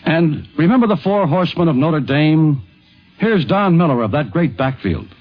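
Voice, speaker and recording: A man hosting with polished, measured, scripted narration in a 1950s radio documentary. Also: fairly high pitch, lively intonation, soft background music. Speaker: host, narrator. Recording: dull 1950s radio recording.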